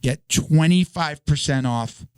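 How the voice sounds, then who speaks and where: A man hosting a podcast in a casual, halting way, reading sponsor spots with a voice he is losing. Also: a little high, a little mellow, clean tone, no room sound. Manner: halting, restarts his read. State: hoarse, losing his voice. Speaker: podcast host. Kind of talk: casual sponsor read and banter.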